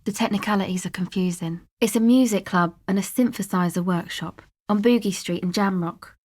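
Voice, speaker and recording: A young woman from a video game, played by an actress, streetwise and guarded. She talks in a loose, slangy way with a dry, offhand delivery and a middling pitch. She comes across as a laid-back slacker who keeps people at a distance. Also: brisk, darkish tone, close to an everyday voice. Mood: wry, guarded. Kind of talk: video game character dialogue, conversational.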